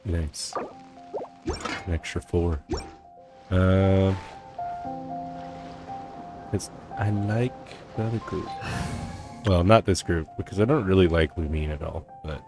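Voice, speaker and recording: A man commentates casually on a game he is playing. His voice is low and slow, muttered in an offhand way. Game music plays clearly behind him. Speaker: gaming streamer playing through a game.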